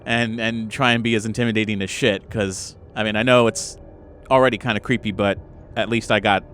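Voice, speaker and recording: A man's voice: fairly low pitch, steady tone, a little fast, fairly bright tone, a little hiss or hum. A man chatting casually as a tabletop game player, improvising in a wry, hesitant, sweary way.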